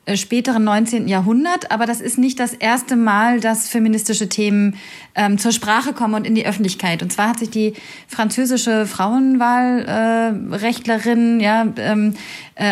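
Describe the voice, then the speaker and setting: A woman's voice: a little high, darkish tone. A woman university lecturer, a guest expert, explaining a topic at length in a thoughtful, hesitant way with many fillers. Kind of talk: explanatory academic talk.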